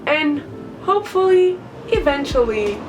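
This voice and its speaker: A woman's voice: sits high, sing-song, measured pace, recorded from a distance, music in the background. A woman in her twenties from Portugal with a Portuguese accent, introducing herself in a chatty vlog, laughing often between thoughts. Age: 20s. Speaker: vlogger.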